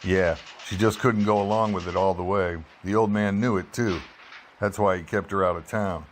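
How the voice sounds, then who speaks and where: An actor playing a hard-boiled, world-weary investigative reporter in an old-style radio drama, clipped, wry and matter-of-fact. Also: male voice, sits low, steady tone, moderate pace, a little hiss or hum, natural voice. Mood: assured. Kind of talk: old-time radio detective drama acting and narration.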